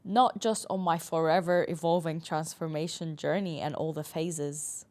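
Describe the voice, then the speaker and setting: A young woman whose first language is not English talks straight to her listeners and shares her own story. She speaks earnestly and with emphasis, and she slows down to stress single words. Her voice sits at an ordinary middle pitch. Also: a little mellow. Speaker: mental health professional. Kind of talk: personal storytime monologue.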